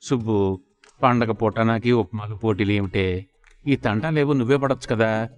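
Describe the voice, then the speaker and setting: A man's voice: fairly low pitch, moderate pace, clear, resonant tone, natural voice. A man acts out a henpecked husband in a family comedy. He is lazy and reluctant, muttering his sarcastic complaints. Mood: weary. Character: lazy, henpecked, grumbling middle-aged husband.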